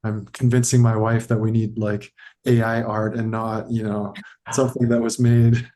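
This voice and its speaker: A man's voice: on the low side, little pitch movement, moderate pace, mellow. A man co-hosting a podcast interview whose first language is French, talking in a rambling, hedging way. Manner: rambling, hedging with fillers and restarts. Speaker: podcast co-host. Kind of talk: casual conversational interview.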